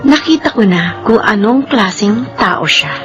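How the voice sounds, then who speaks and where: A woman's voice: medium pitch, lively intonation, a little slow, muffled recording, lightly acted. A woman playing a devoted, submissive young girlfriend in an emotional radio drama. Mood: reflective, sad. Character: devoted, pleading young woman clinging to her boyfriend. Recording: radio drama with background music. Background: music.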